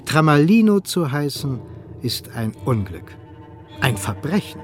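Slightly high-voiced male radio play narrator. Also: sing-song, measured pace, faint music behind.

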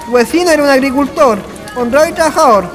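A man's voice: very high-pitched, fast talker. An actor playing a folksy rustic man with a rural Chilean accent, telling anecdotes in a radio drama. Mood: storytelling. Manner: folksy, colloquial. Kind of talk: radio drama acting, colloquial storytelling. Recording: long reverb, faint music behind.

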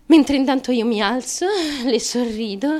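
A woman's voice: fairly high pitch, normal speed, a little mellow. A woman performing a first-person theatrical radio monologue, telling her story in breathless run-on sentences. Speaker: actress.

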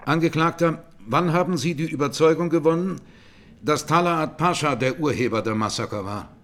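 A man's voice: a little high, moderate pace, fairly bright tone, a little hiss or hum, close to an everyday voice. Terse, probing judge played by a man. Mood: formal. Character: methodical, insistent presiding judge questioning the accused. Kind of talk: radio play courtroom questioning.

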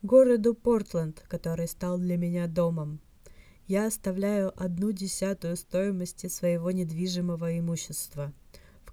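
A woman voicing a dignified, sly old male scholar's hologram in a fan fiction reading, formal, measured and soft. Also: on the low side, no echo, close to an everyday voice.

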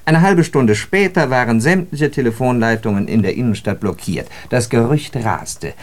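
A man acting as a worldly Parisian engineer in a radio play. His mid-pitched voice moves with great liveliness as he holds forth courteously, every point neatly made. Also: lively intonation, moderate pace, close to an everyday voice. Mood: animated storytelling. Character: urbane Parisian male civil engineer, courteous raconteur. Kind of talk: radio drama monologue, storytelling.

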